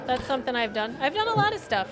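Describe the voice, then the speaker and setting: Una mujer de unos cincuenta años, de Connecticut, humorista invitada que cuenta anécdotas con ironía sobre sí misma en una charla en vivo. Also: female voice, high-pitched, sing-song, fast talker, music in the background. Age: 50s. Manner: storytelling, dry self-deprecating. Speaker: comedian, guest. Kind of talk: casual live podcast chat. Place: bar venue with an audience. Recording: live in a bar with a crowd.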